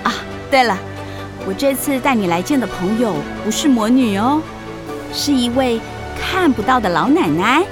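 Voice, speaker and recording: Woman playing a high-pitched, animated witch auntie. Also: moderate pace, music in the background, close to an everyday voice. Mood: warm, friendly. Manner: expressive, animated. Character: lively, kindly witch aunt who flies a broom. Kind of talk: children's audio drama acting.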